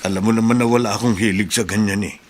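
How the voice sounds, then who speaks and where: A Filipino actor playing a modest, faithful older workshop helper, reluctant and grateful, in a radio drama. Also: male voice, lowish voice, steady tone, fast, natural voice. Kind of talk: Tagalog radio drama acting.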